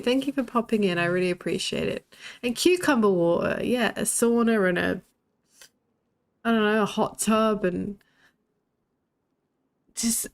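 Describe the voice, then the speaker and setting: A woman's voice: mid-range voice, vocal fry. A young woman with a lisp, chatting bluntly and swearing while streaming a game. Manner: blunt and sweary, laughs often. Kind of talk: casual chat while playing a shooter game.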